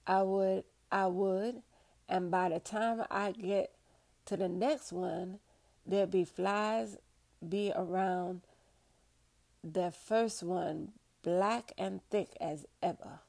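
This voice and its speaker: Woman acting a slow, weary, humble storyteller. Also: mid-range voice, steady tone, creaky, darkish tone. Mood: solemn recollection. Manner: slow, plainspoken, folksy repetition of phrases. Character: weary, humble formerly enslaved woman recounting wartime nursing.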